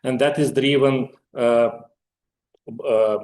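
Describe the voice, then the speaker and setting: Man, mid-pitched, soft dark tone, corporate earnings call presentation. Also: fairly even intonation, slow. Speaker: bank finance executive. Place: conference call.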